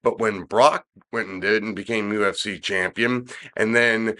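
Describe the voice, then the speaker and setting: A man's voice: on the low side, fairly even intonation, moderate pace, pushed and bright. A middle-aged man with a stuffy, slightly nasal voice, rambling through a casual podcast chat with many restarts. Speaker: podcast co-host discussing wrestling.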